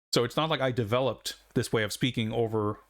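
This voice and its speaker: A man's voice: medium pitch, normal speed. Chuckling, rambling Swedish-born man. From Sweden. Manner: rambling and reflective, heavy with "like", chuckling often. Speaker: game streamer. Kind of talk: casual live-stream chat.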